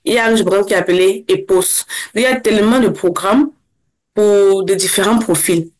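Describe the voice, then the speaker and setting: A young woman from Cameroon talks through her path as a guest in a casual interview. She speaks quickly and restarts her sentences often, in a voice of middling pitch. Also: a little mellow. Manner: fast, with many restarts. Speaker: interview guest, former scholarship holder.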